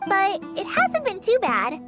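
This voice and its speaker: A woman's voice: extremely high pitch, wildly swooping pitch, a little slow, over music, exaggerated voice. A woman voicing a cheerful, diligent little girl who works at an outpost in a video game, chirpy and businesslike. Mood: cheerful, unbothered. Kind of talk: video game character dialogue.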